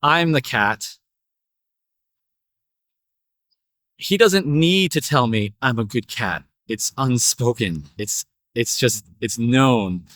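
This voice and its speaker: A man's voice: mid-range voice, animated, slow, a bit bright, no room sound, studio quality, pitched below the performer's own voice. A man voicing a smug female cat in a story, with a condescending, self-assured manner. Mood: smug, dismissive.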